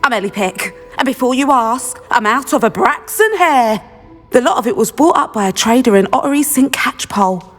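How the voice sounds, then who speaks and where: Chatty shop lady, a woman voicing her, high bouncy voice, echo tail, game music low behind. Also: lively intonation, close to an everyday voice. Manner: gossipy, brisk and chatty. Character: chatty middle-aged female beast shopkeeper. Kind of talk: video game voice acting. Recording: long reverb, faint music behind.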